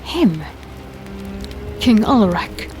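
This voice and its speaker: A woman's voice: medium pitch, extremely slow, music in the background. Woman playing a feisty, blunt fantasy archer wife. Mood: worried. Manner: blunt, exasperated, expressive. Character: feisty, stubborn fantasy archer wife, sharp-tongued but caring. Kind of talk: dark fantasy audio drama acting.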